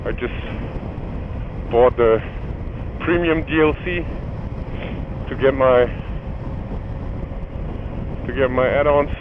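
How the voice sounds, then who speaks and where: A man's voice: medium pitch, slow pace, long pauses. A man with a German accent, a native German speaker, chatting in a rambling, self-deprecating way while riding a motorcycle in winter. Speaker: motovlogger. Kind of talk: live motovlog chat while riding. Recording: phone-like audio with strong wind and engine noise. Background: wind and vehicle noise.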